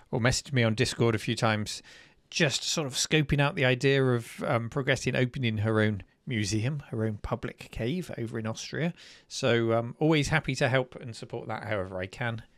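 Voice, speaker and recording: A man's voice: medium pitch, normal speed, a bit bright, no room sound, clean studio sound. A man hosting a live stream, chatting and reacting to viewers' videos. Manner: chatty, enthusiastic. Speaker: host.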